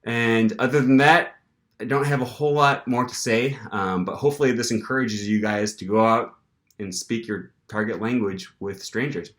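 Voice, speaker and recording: A young man vlogging casually, telling an animated story with lots of fillers and restarts. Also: medium pitch, normal speed. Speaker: language-learning vlogger.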